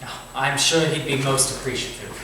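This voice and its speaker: A man playing a courteous, eager Victorian gentleman writer with a genteel, theatrical manner in a staged play reading. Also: mid-range voice, fairly even intonation, moderate pace, natural voice. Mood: polite, eager. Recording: very echoey hall, distant mic, faint noise.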